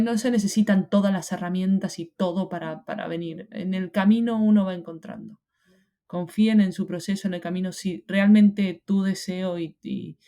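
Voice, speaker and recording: Mellow-voiced Argentine woman, reflective. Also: on the low side. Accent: Argentine Spanish. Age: young adult. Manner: reflective, many hesitations and restarts. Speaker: interview guest. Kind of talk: casual interview conversation.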